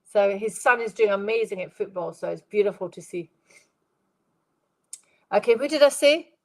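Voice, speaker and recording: Congested woman giving a gentle psychic reading. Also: mid-range voice, expressive, normal speed, vocal fry, rough recording. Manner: gentle, rambling, repeats phrases, addresses viewers by name. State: congested, stuffed-up nose. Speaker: medium giving live readings. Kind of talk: live-stream spirit reading.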